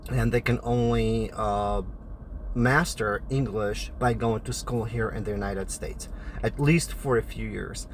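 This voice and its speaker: Middle-aged man, Polish accent, candid vlogger, mid-pitched, faint vehicle hum behind. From Poland. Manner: direct, frequent "uh" fillers. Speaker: family travel vlogger. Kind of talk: casual explainer vlog.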